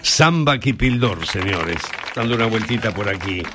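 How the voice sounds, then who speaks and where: A man's voice: on the low side, expressive, normal speed, background noise. An Argentine man hosting a live weekend radio show, chatting easily with callers and guests. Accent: Argentine Spanish. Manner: chatty, warm banter with guests. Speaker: radio host.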